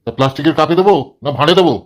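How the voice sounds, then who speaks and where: Man as a quick, chatty village tea seller. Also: a little high, close to an everyday voice. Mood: cheerful, placating. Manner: chatty, fussy, placating. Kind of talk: exaggerated comedy cartoon dialogue.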